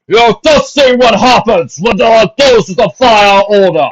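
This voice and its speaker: A man voicing a cruel male pony legion captain who booms commands slowly and theatrically. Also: animated, fairly bright tone, heavily acted. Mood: commanding. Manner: booming, commanding, slow and theatrical. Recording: dull lo-fi home recording.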